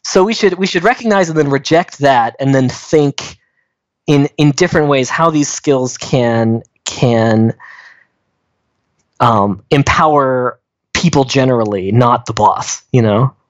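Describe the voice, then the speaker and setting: A man's voice: medium pitch, measured pace, no room sound. A dull, lo-fi, very dry recording of a man chatting as a podcast guest. His talk is informal, often checking in with the listener at the end of a phrase. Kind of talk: casual discussion.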